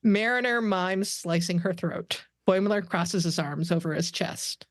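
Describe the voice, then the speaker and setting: A woman's voice: lowish voice, measured pace, dry recording, clean studio sound. A woman narrating a script at a casual table read.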